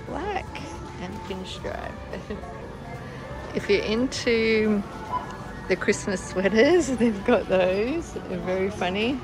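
A woman's voice: medium pitch, animated, slow pace, over music, rough recording. An Australian woman chatting casually as she films a shopping vlog in a large store, often laughing. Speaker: vlogger. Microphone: handheld phone camera.

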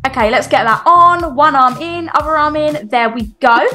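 A woman roleplaying a doting mother, cheery, reassuring and chatty in an animated improvised style for children. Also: sits high, moderate pace, faint music behind. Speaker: host of a Roblox family roleplay.

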